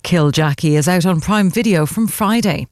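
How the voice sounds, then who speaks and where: A man's voice: high-pitched, moderate pace, a little mellow, dry recording, studio quality. A man reading an entertainment news bulletin on the radio. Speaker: entertainment newsreader.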